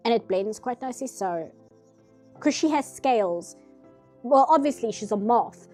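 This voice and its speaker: A woman's voice: on the high side, warm tone. A nasal-sounding woman streaming herself painting, chatting casually in rambling asides. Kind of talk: casual chat while drawing.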